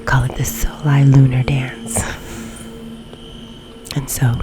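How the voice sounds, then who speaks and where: Slow, low-pitched woman guiding meditation. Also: flat delivery, background noise. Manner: soft, breathy, often near-whispered delivery; unhurried with long pauses between phrases. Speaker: meditation guide. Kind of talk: guided meditation, ASMR. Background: background music.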